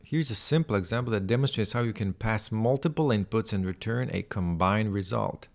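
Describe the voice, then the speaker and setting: A man's voice: lowish voice, fairly quick, vocal fry. A man working through a coding tutorial by thinking aloud. He talks hesitantly, mutters to himself and trails off. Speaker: programming tutorial presenter.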